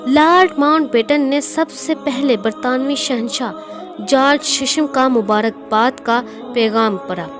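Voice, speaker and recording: A woman's voice: sits high, measured pace. A woman narrating a scripted historical speech in a formal, declamatory manner. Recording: music behind the voice. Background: clear background music.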